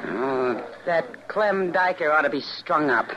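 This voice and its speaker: A woman playing a sympathetic, quietly indignant frontier woman in an old radio western. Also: sits low, lively intonation, unhurried, muffled recording, background noise. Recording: old radio recording, crowd murmur.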